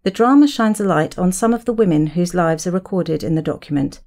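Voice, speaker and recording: A woman's voice: on the low side, a little fast, mellow. A woman presenting a podcast, with scripted narration and interview questions.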